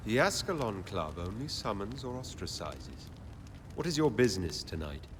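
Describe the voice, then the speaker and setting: A stern club doorman in a video game. He is formal and officious, with a lowish voice that rises and falls a lot. Faint ambient noise sits behind. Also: very animated, normal speed. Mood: stern, challenging.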